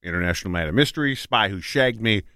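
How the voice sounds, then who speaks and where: A man banters casually as a co-host, talking fast with an animated swing to his voice. The sound is dry. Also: on the low side, no room sound.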